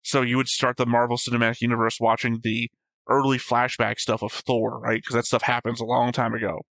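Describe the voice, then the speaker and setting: A man with a fairly flat, even delivery talks casually, like a show host thinking aloud. He rambles through explanations in an informal way, and the sound is close and dry. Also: medium pitch, a little fast, vocal fry, dry recording, rough recording. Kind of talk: casual chat, discussing an anime episode.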